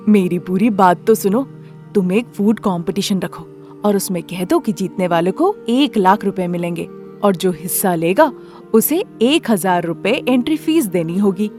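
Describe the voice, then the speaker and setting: A woman's voice: mid-range voice, animated, moderate pace, darkish tone, soft background music, lightly acted. A woman voicing a shrewd young female friend, explaining things in a matter-of-fact, persuasive way. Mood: knowing, persuasive. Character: shrewd young female friend giving money-making advice.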